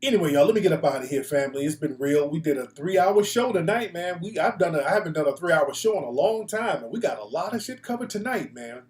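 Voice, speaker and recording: A man's voice: a little high, lively intonation, fast, hardly any pauses, a little mellow. An American man hosting a casual, opinionated live talk show, speaking bluntly with slang and profanity. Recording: echoey room.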